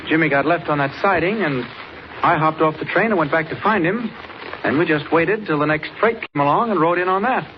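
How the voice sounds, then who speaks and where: A man's voice: fairly high pitch, fairly quick, close to an everyday voice. An actor playing an earnest, determined male reporter, firm and inquisitive, in 1940s radio acting style. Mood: conversational. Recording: muffled noisy old radio recording.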